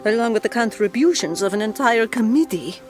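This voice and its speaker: A woman's voice: fairly high pitch, lively intonation, a little fast, close to an everyday voice. An actress playing an anxious, prim would-be mother in an audio drama, hesitant and dismayed.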